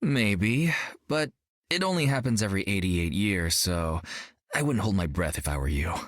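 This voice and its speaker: A man's voice: low-pitched, expressive, normal speed, no room sound, studio quality. A young actor playing a brash, cocky male martial artist in a video game, joking and upbeat. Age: young adult.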